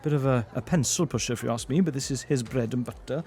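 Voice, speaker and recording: A man playing a practical, exasperated police sidekick in a comic audio drama, speaking haltingly with stammers. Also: medium pitch, a little mellow, natural voice. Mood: nervous, excited.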